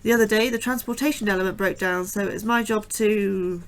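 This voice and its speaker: A woman's voice: mid-range voice, fairly even intonation, a little fast, natural voice. An actress playing a sarcastic, anxious young astrobiologist who narrates her days at the base. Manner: wry, chatty, sarcastic. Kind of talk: audio drama acting and narration.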